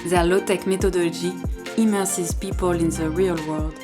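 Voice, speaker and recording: A woman with a fairly low voice speaks as a podcast host, with faint music around her. Her delivery is that of an interviewer presenting a show. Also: steady tone, normal speed. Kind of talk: podcast introduction and interview questions.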